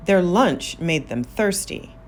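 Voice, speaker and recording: A woman narrating a children's story aloud, expressive, with drawn-out emphasis on key words. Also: lowish voice, slight background noise. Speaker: storybook reader.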